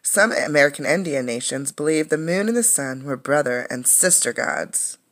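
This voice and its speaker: Woman, teacher-style read-aloud, children's science book, explanatory delivery, gravelly vocal fry. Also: deep voice, expressive, a bit bright. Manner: explanatory, pausing to comment and ask questions.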